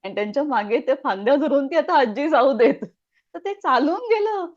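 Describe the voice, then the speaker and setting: A woman's voice: high-pitched, lively intonation, normal speed, a little mellow. Middle-aged woman storyteller, dull lo-fi sound. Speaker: puppeteer, interview guest. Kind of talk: conversational storytelling in an interview.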